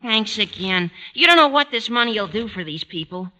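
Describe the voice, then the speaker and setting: Squeaky, high, sharp teenage boy. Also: animated, moderate pace, heavily acted. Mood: grateful, flustered. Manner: earnest gosh-and-gee fibbing. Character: squeaky, eager, gawky teenage schoolboy. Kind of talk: 1940s radio sitcom acting. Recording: noisy old radio broadcast, car sound.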